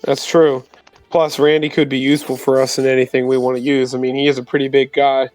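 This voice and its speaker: A man's voice: medium pitch, level tone, a little fast, darkish tone, rough recording. A man playing a tabletop roleplaying game, talking in a casual, joking roleplay style. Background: music.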